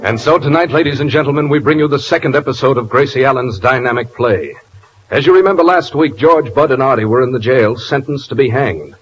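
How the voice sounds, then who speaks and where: A man's voice: medium pitch, brisk, faint music behind. A man narrating as a radio announcer, setting a scene in 1940s style. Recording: dull, faintly noisy old radio.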